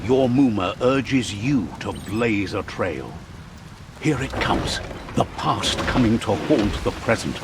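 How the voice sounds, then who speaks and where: An actor playing a whimsical, wise storyteller who narrates an animal fable in a video game with theatrical, philosophical delivery. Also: male voice, lowish voice, lively intonation, takes it slowly, very noisy. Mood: wise, reflective.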